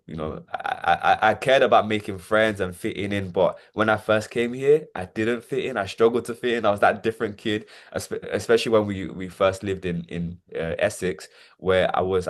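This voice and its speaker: Deep-voiced young man, hesitant storytelling. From Nigeria. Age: 20s. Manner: hesitant, repeats words, many fillers. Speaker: interview guest. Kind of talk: reflective personal testimony.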